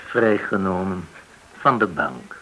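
An actor in a Dutch radio play playing a menacing gangster interrogator, controlled and commanding, full of veiled threats. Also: male voice, low voice, expressive, takes it slowly, fairly bright tone, a little hiss or hum, exaggerated voice, low-quality recording. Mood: probing.

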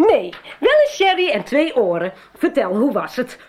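An actress playing a breezy, sharp-tongued mother who likes her sherry, in a radio soap. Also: sits high, wildly swooping pitch, moderate pace. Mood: amused, breezy.

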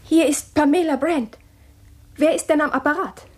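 Woman voicing a nervous newlywed wife, tense, questioning, high-pitched. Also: moderate pace, close to an everyday voice. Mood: anxious. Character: anxious young newlywed wife, nervous, suspicious. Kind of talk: German radio drama acting.

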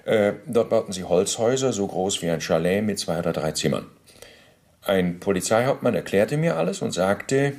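Gravelly, officious Nazi bureaucrat under interrogation. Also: male voice, sits low, a little mellow. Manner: formal, bureaucratic, halting with deliberate pauses. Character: middle-aged male Nazi bureaucrat under interrogation, officious, self-justifying. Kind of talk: German radio play, testimony monologue.

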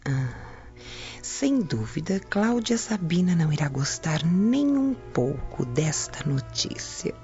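A woman playing a sly, scheming spy in a radio drama, hushed and gloating in a drawn-out delivery. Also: deep voice, big pitch swings, muffled recording, over music, full character voice. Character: sly, scheming female spy lurking behind curtains. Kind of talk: Brazilian radio drama acting.

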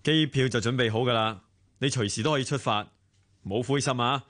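An actor playing a kindly office manager in a radio drama, soothing and encouraging, with a Hong Kong Cantonese accent. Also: male voice, medium pitch, fairly quick, a bit bright, natural voice.

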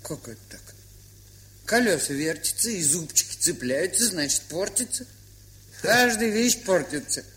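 An actress playing a gruff, stubborn but kind-hearted old aunt in a radio play, with an aged, husky, folksy, grumbling way of talking. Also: female voice, lowish voice, expressive, measured pace, fairly bright tone. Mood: defensive. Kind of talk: Soviet radio play acting.

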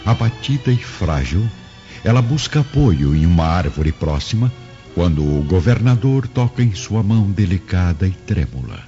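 Very deep, mellow male narrator. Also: very animated, moderate pace. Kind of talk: dramatic scripted narration of an audio novel. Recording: dull lo-fi recording over music.

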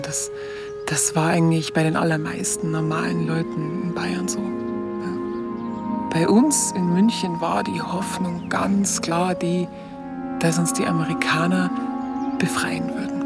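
An actress playing a candid Munich woman recalling her memories in a radio play, halting and musing. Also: on the low side. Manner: halting, musing, breathy phrase endings. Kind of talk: radio play narration as spoken recollection. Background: music.

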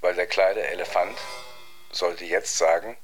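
A man's voice: deep voice, steady tone, a little slow, soft background music. A man telling a story casually in a rambling, colloquial way. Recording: noisy ambience behind the voice.

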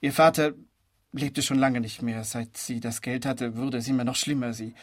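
An actor playing a distraught, bewildered newly widowed husband, speaking hesitantly and plaintively in a radio play. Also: male voice, mid-range voice, fairly even intonation, moderate pace, natural voice. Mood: distressed. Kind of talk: German-language radio play acting.

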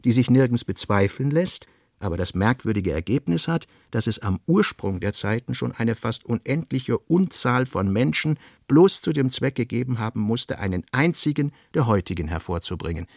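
A man reading essayistic literary prose for radio with a measured, deliberate delivery. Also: medium pitch, lively intonation, gravelly, dry recording, clean studio sound. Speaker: narrator.

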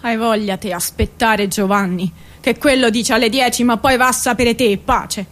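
Harried working-class mother, played by a woman: high voice, aggrieved, repetitive colloquial lament, theatre monologue. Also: normal speed, a bit bright, natural voice. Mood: worried, aggrieved. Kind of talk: stage monologue.

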